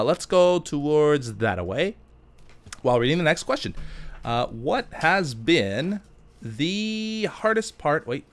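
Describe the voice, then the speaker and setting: A man with a mid-range voice commentates casually over a video game. He rambles and thinks out loud, drifting between the game and answering questions in an informal, chatty way. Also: mid-range voice. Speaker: gaming YouTuber.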